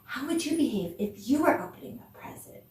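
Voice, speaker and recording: Woman, children's dance teacher, encouraging, unhurried delivery, questioning, recorded away from the mic with faint noise. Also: high-pitched, sing-song, darkish tone. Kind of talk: children's online arts lesson, addressing viewers. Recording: echoey room, distant mic, faint noise.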